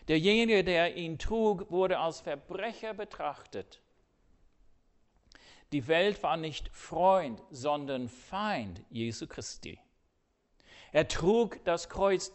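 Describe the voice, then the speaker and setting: An older man preaching a sermon earnestly, swinging between loud emphasis and hushed, quiet asides. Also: a little high.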